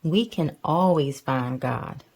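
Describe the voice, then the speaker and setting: A woman voicing a gentle mother bear from a storybook, patient and reassuring, with emphatic delivery. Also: low-pitched, takes it slowly, slightly rough, full character voice.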